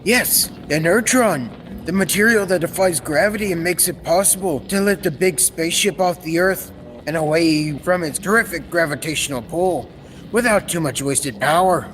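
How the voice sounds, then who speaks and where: A man's voice: a little high, moderate pace, lightly acted. A young man playing an earnest, proud scientist inventor in an old-time radio serial, explaining things formally. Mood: earnest, explanatory. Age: teenager or young adult. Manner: formal, explanatory, technical delivery. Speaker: student actor. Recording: reverberant hall, steady background noise.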